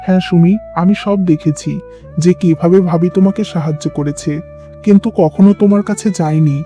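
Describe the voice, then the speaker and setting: Man acting a high, very dark-toned young husband. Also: steady tone, brisk, soft background music, lightly acted. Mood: pleased. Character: agreeable young husband, loving and earnest. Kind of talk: Bengali moral-story cartoon dubbing.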